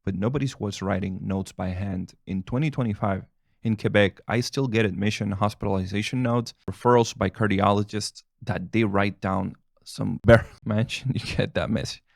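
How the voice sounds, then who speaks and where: Doctor guy, spanish is his first language, kinda rambly and chuckly, low gravelly voice. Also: fairly even intonation, moderate pace, no room sound, studio quality. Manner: loose and rambling, with frequent restarts and chuckles. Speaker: family physician. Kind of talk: casual talk to camera, answering questions.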